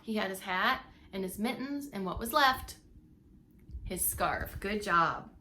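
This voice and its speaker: Female preschool storyteller: expressive read-aloud, bright tone, chatty asides, slight noise behind. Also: medium pitch, measured pace. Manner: dramatic emphasis, questioning asides to listeners. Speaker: preschool teacher.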